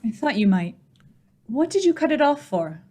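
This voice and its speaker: A woman playing a composed, affectionate, knowing wife in an old-time radio play, gentle and coaxing. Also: a little high, very animated, normal speed. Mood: fondly probing.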